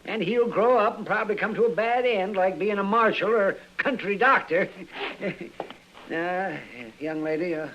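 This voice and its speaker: An American actor playing a crusty, good-hearted older country doctor in a 1950s radio western, folksy and drily teasing. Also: male voice, sits high, moderate pace, natural voice. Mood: wry, reassuring. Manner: dry, folksy, chuckling. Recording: dull, faintly noisy vintage radio recording.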